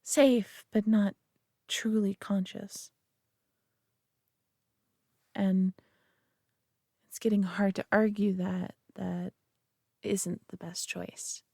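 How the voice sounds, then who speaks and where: Slow, haughty alien woman, acted by a woman. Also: medium pitch, flat delivery, creaky, mellow, no room sound, clean studio sound, exaggerated voice. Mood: earnest, solemn. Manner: haughty, condescending yet tender, deliberate. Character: proud, possessive, caring female superior alien being. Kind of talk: scripted roleplay audio drama monologue.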